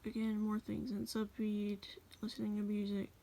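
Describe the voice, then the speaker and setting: A woman casually reading her journal aloud as a voice-over, in a rambling, halting way. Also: mid-range voice, monotone, vocal fry, dark tone. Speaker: journal flip-through video creator.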